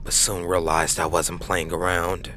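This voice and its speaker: Low-pitched man narrating horror, forceful tone. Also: flat delivery, measured pace. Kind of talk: scripted first-person horror narration. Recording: faint background noise.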